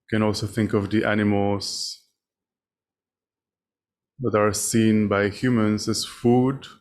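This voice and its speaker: A man leading a guided meditation as a teacher, speaking in short, measured phrases with long pauses between them. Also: lowish voice, flat delivery, darkish tone. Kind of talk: guided meditation, spoken instruction.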